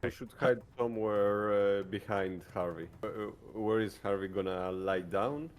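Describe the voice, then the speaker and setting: A man's voice: lowish voice, moderate pace. A man playing a tabletop roleplaying game, talking tactics in casual chat.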